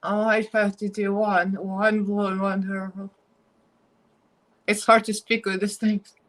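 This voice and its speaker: A woman's voice: mid-range voice, flat delivery, measured pace, low-quality recording. A woman with a Russian accent chatting casually and giggling as she does her makeup live for viewers.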